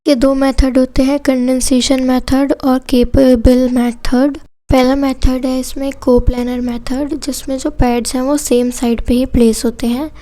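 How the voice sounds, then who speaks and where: A woman's voice: high voice, little pitch movement, unhurried, warm tone. A woman with an Indian accent giving an explanatory lesson as a lecturer, going simply point by point and mixing in English technical terms. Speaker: physiotherapy lecturer.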